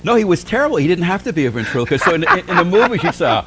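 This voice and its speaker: A man hosting a studio interview show in a jokey, enthusiastic way, quick to laugh. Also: sits high, huge pitch swings, gravelly, a bit bright, a little hiss or hum. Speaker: talk show host.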